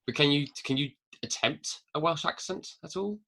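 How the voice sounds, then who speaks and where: A man from northern England hosting a podcast, chatty and teasing his guest with quick jokes. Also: a little high, gravelly, pushed and bright. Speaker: podcast host. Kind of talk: casual interview chat.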